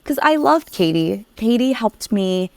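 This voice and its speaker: A woman from Vietnam, a podcast guest, candidly telling her personal story with lively, animated recollection. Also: on the high side, a little slow, no room sound. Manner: candid, lively, laughs while recalling. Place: Vietnamese-born guest, raised in America.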